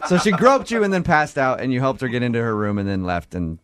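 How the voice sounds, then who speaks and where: A man's voice: medium pitch, very animated, fast talker, a bit bright, smooth, no echo. A man hosting a radio show with casual, joking banter.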